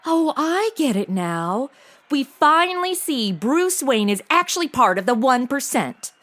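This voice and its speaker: A woman's voice: high-pitched, sing-song, measured pace, fairly bright tone, exaggerated voice. A woman playing a sassy, irreverent modern young woman in a comedic audio drama, slangy and full of wry delivery. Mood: feisty, indignant.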